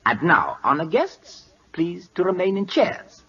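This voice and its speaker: A man's voice: high-pitched, sing-song, a little slow, pushed and bright, husky, exaggerated voice. An actor playing a proud, imperious King of Siam in a 1940s radio drama, speaking formal, clipped foreign English with dropped articles. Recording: muffled old-time radio recording.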